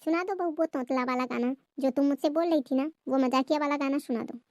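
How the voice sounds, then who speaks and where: A woman's voice: high-pitched, fast talker, a little mellow, no room sound, clean studio sound, lightly acted. A woman playing an anxious, scolding Indian mother-in-law in a family comedy skit. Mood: defensive. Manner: fretful, scolding, gossipy.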